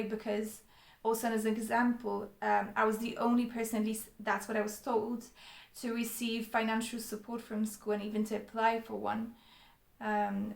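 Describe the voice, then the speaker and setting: A woman's voice: medium pitch, gravelly. A young Polish woman tells her personal story straight to camera, speaking with few rises or falls. She is earnest and often stops on an um or restarts a phrase. The room around her sounds echoey. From Poland. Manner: earnest, with frequent ums and restarts. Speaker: vlogger.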